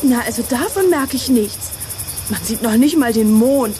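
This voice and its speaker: Woman as fussy schoolgirl in a radio play, high pitched, coy n whiny, bit snobby, noisy recording. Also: expressive, moderate pace, natural voice. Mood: uneasy, grumbling. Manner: affected, complaining, coy. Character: fussy, vain, curious schoolgirl. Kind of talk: German radio play acting.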